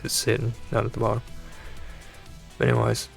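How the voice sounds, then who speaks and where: A man hosting a gaming channel, talking casually over gameplay with a dry throat and a hoarse voice, rambling and exasperated, often restarting his sentences. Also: lowish voice, very animated, brisk, soft background music. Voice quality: gravelly, creaky voice.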